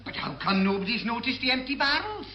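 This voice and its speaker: A man's voice: very high-pitched, big pitch swings, fast, pushed and bright, exaggerated voice. An actor playing a simple, guileless Welsh seaman in a radio sitcom, with a Welsh accent and a plaintive manner. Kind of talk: classic British radio sitcom acting.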